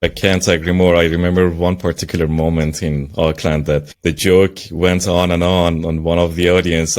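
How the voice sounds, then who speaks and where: Man, deep voice, podcast host, conversational, admiring questions. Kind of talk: interview questions.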